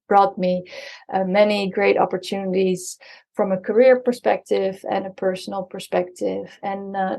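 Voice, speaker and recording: Dutch-born woman guest, thirties, gravelly fry, lots of um and like. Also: medium pitch, dark tone. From the Netherlands. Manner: chatty, many ums, likes and restarts. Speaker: guest, marketing strategist. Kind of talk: casual conversation. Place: co-working space.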